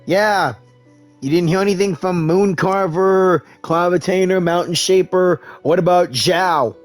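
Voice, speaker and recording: A man's voice: sits high, unhurried, faint music behind. A man streaming a game playthrough, reading lines aloud and reacting in a loose, rambling way with lots of fillers. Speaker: gameplay streamer.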